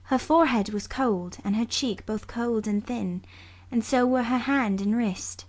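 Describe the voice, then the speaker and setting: A woman reading literary narration for an audiobook in a measured, sombre storytelling manner. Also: fairly high pitch, darkish tone.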